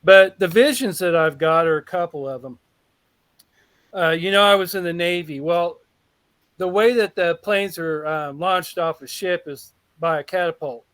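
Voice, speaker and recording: A man's voice: a little high, moderate pace, a bit bright, clean tone, dry recording. A man on a video call, giving a rambling, enthusiastic testimonial and restarting his sentences often. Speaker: call participant. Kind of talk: casual testimonial on a group call.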